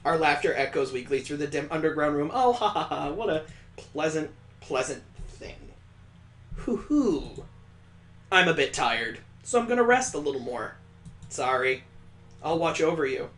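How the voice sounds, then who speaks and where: A man's voice: on the high side, very animated, normal speed, fairly bright tone, roomy sound. A man reading a visual novel aloud, mixing dramatic narration with sarcastic comic asides. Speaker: let's play host.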